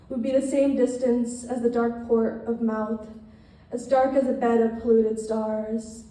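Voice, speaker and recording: Woman poet, mellow tone, measured open mic recitation, recorded some way from the mic. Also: a little high. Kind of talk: spoken-word poetry reading. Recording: very echoey room, distant mic.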